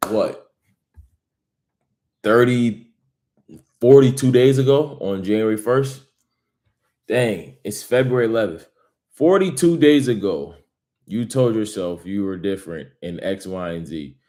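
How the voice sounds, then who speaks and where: A man's voice: on the low side, animated, unhurried, pauses often, mellow. A man giving a casual motivational vlog monologue, rambling with emphatic bursts and self-help pep talk. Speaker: vlogger.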